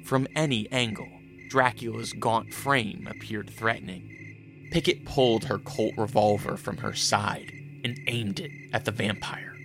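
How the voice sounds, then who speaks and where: An actor playing a grave, brooding vampire who also narrates a radio drama in a measured way. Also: male voice, fairly low pitch, steady tone, creaky, fairly bright tone, faint music behind, rough recording. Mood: solemn.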